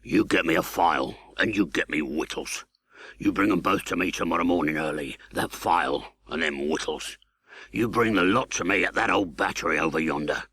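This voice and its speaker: A menacing escaped convict from a classic storybook. The voice is low and harsh, with a rasping edge and rough English working-class speech. It is forceful and threatening, in a dry recording. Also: male voice, normal speed, no room sound, heavily acted. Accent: English working-class dialect. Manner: threatening, growling, uneducated speech. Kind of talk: audiobook character acting.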